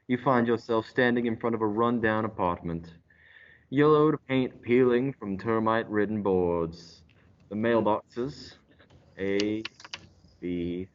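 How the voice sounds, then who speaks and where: A man plays a smug announcer from an old-time detective radio play. He unfolds the murder mystery slowly and theatrically, in a lowish voice. The sound is muffled and lo-fi. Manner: deliberate, dramatic storytelling. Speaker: radio drama actor. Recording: dull lo-fi audio.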